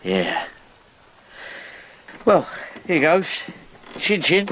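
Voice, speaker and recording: Blunt english mechanic, slangy, plain middle voice, rain and boat noise behind. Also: male voice, a little high, big pitch swings, extremely slow, full character voice. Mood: tender. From England. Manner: blunt, folksy, casual slang. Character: rough-edged working-class English boat mechanic. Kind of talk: radio drama acting.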